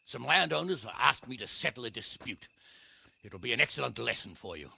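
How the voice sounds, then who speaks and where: Gravelly, blustering old noble lord. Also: male voice, mid-range voice, big pitch swings, fairly quick, sharp tone, full character voice. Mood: furious, scolding. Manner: blustering, commanding, sarcastic. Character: gruff, hot-tempered older noble lord scolding young men. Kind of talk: dramatic video game acting.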